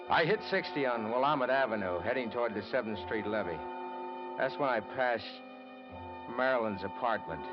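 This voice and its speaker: An American actor playing a bitter, brooding newspaper reporter in an old-time radio noir drama, with a dramatic, clipped delivery. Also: male voice, on the low side, close to an everyday voice. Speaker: radio drama lead and narrator. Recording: dull old radio recording with music.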